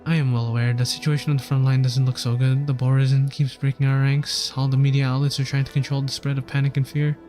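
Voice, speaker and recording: A man's voice: medium pitch, little pitch movement, fast, hardly any pauses, mellow. A man streaming a game, reading its story text aloud earnestly and now and then stumbling over words. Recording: game music behind the voice. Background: game music.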